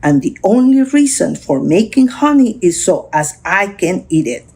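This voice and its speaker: A woman's voice: medium pitch, sing-song, moderate pace, mellow, breathy edge. A woman voicing a simple, honey-loving toy bear in a storybook, musing earnestly and repetitively. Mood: pleased reasoning.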